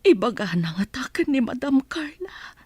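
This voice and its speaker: A woman's voice: high voice, very animated, normal speed, dark tone, husky, no room sound, full character voice. A woman acting an emotional, deferential mother and household helper in a radio drama. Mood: troubled, reflective. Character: anguished, pleading mother who serves as a household helper.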